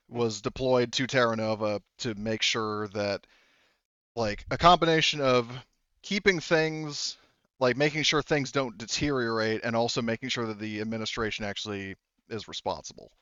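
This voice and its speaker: A man with a clean, resonant voice at a middling pitch acts as game master for a tabletop game. He explains in stops and starts, circling back to reword himself. The sound is very dry and a little dull. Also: fairly bright tone, clean tone, no room sound. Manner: halting, repeats and rephrases mid-sentence. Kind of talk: explaining plot while running a tabletop roleplaying game.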